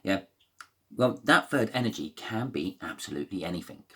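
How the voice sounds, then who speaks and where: Deep-voiced, croaky man reading tarot. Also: animated, moderate pace, fairly bright tone. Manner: rambling, hesitant, many fillers and restarts. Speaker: tarot reader. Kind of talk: casual tarot card reading.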